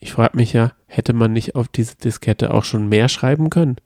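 Gravelly dark-voiced man chatting. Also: medium pitch, normal speed, dry recording, clean studio sound. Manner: explains calmly and at length, playful about spoilers. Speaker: podcast co-host. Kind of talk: casual chat about a radio play series.

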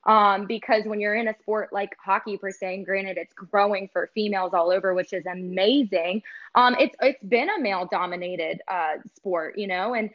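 A woman's voice: medium pitch, normal speed, highs cut off. A woman who works as a sports host and reporter, speaking earnestly in a casual interview with lots of "like" and "you know". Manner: earnest, filler-heavy with many "like" and "you know". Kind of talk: casual podcast interview talk.

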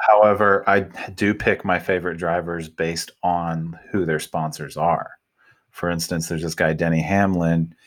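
A man hosting a podcast, talking in a relaxed, conversational way and steering the topics. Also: low voice, measured pace. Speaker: podcast host. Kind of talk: casual chat.

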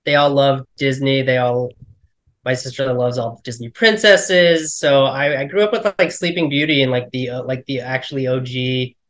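Brief: male guest, mid-pitch voice, loose casual talker. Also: steady tone, normal speed, a bit bright. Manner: casual, lots of "like". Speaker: podcast guest. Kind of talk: casual podcast chat.

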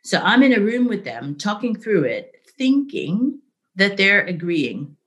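A middle-aged woman speaking as an interview guest, telling candid work stories in a lively, conversational way, often asking "right?". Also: mid-range voice, a little slow. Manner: animated, frequent "right?" and "like", laughs easily. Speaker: interview guest, business leader.

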